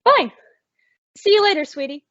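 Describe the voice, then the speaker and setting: A woman's voice: extremely high pitch, big pitch swings, moderate pace, fairly bright tone, a little hiss or hum, full character voice. A woman playing a sensible young woman in a radio comedy, a wry and diplomatic peacemaker. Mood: practical. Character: sensible young working girl, exasperated peacemaker. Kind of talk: old-time radio comedy acting. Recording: dull lo-fi recording.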